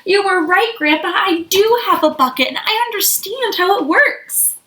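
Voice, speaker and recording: A woman's voice: very high voice, animated, normal speed, bright, forceful tone, pitched above the performer's own voice, exaggerated voice. A woman voicing an eager, sensitive young schoolboy in a children's storybook. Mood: excited. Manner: eager, earnest. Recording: echoey room.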